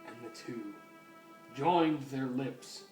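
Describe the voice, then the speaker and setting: Male narrator, slow melodramatic fan-fiction reading, roomy recording with music. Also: medium pitch. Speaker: story reader. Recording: roomy, distant mic, music behind.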